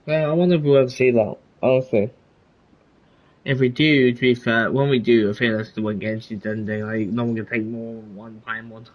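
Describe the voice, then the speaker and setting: A man's voice: medium pitch, fairly even intonation, brisk, rough recording. A man giving casual, musing reaction commentary as a gaming streamer, in a rambling way.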